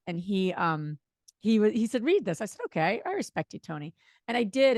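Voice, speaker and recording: Fast-talking New Jersey woman. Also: mid-range voice, no room sound, studio quality. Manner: fast-talking, chatty, many fillers. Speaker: guest, real estate investor. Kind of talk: casual interview talk.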